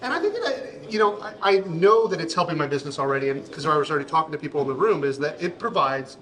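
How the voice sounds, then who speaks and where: A middle-aged man from Canada with a big voice, a guest chatting casually at an awards reception, halting and repeating words as he thinks. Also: fairly high pitch, animated, crowd noise, poor audio. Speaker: interview guest, accountant. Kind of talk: casual event interview. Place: busy reception event.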